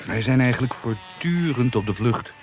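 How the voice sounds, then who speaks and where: A man's voice: medium pitch, expressive, moderate pace, natural voice. An actor playing a wary, sceptical male companion who mutters and grunts in a radio drama. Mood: dry, doubtful. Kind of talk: Dutch radio drama acting. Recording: noisy radio drama with background ambience.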